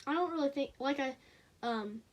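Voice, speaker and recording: A young reviewer with a high, childlike voice talks through toy figures in a relaxed, offhand way. The delivery is halting, with frequent pauses and fillers between thoughts. Pitch sits a little above average. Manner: hesitant, many pauses and fillers.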